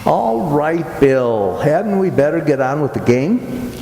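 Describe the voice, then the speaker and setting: Polite, fussy straight man played by a man, mellow and unhurried, in an echoey hall. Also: a little high, sing-song, creaky, heavily acted. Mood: mildly scolding. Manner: polite, mildly scolding. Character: patient, fussy straight man and guardian of dummy. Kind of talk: old-time radio comedy acting. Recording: echoey hall, noisy background.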